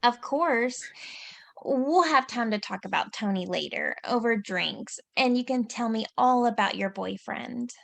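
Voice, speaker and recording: A woman voices a bubbly young woman who keeps bragging about her boyfriend. The voice sits a touch high, unhurried, with a gravelly edge. The read is dry and close. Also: expressive, fairly bright tone, no room sound, full character voice. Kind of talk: table read acting.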